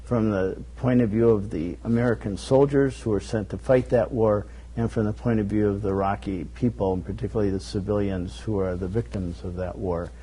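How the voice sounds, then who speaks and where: A man speaking as a guest on a talk show, his voice low and mellow. He explains his work earnestly and at a measured pace. He restarts his sentences often and fills the pauses with "um". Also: steady tone, husky, poor audio. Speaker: guest, documentary network director.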